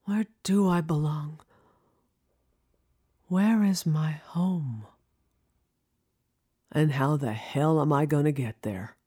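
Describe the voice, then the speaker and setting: Slow, low-voiced reflective woman narrator. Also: mellow, husky. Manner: reflective, intimate storytelling. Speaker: writer, performer and narrator. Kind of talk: scripted first-person dramatic narration.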